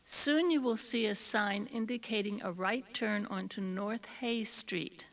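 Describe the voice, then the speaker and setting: A woman reading scripted driving directions in a measured, instructional way. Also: mid-range voice. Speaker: tour directions narrator. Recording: slightly distant old cassette recording.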